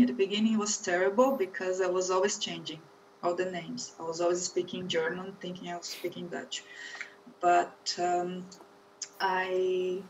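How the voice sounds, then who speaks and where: Chatty woman, off-mic. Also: lowish voice. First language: Portuguese. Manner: chatty, laughs often, many restarts. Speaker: guest. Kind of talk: casual conversation. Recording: distant mic, faint background noise.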